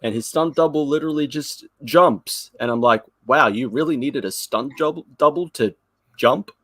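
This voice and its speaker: A man co-hosting a podcast, talking casually in an animated, rambling, self-deprecating way. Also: medium pitch, normal speed, no echo, studio quality. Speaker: podcast co-host. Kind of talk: casual fan podcast chat.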